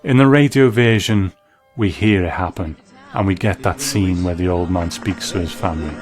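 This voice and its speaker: Low-voiced reflective male commentator. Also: moderate pace, soft background music. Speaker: host and reviewer. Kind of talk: scripted commentary.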